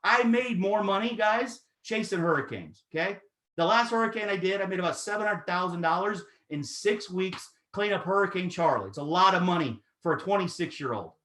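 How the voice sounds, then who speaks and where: A man in his thirties giving an energetic, persuasive sales pitch, talking straight to his audience. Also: brisk, roomy sound. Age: 30s. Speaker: network marketing presenter.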